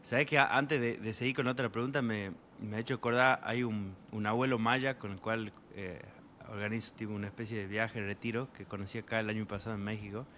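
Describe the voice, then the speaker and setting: A young man from Argentina with an Argentine Spanish accent, an interview guest telling travel stories in a relaxed, rambling way full of fillers. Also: lowish voice, a little fast, slight background noise. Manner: rambling, many fillers, voices quoted people. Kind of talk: casual storytelling in an interview.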